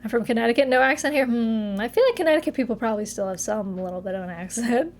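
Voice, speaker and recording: A woman's voice: a little high, quick pace, slight background noise. A young woman from Massachusetts, chatting casually with her live chat from her apartment, giggling and trailing off mid-sentence. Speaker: streamer.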